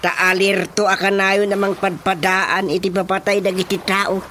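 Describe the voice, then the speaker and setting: A man's voice: high voice, little pitch movement, normal speed, sharp tone, a little hiss or hum. An actor playing a talkative older village man with an Ilocano accent, chuckling as he muses on death and faith in a radio drama. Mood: musing. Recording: music under the voice.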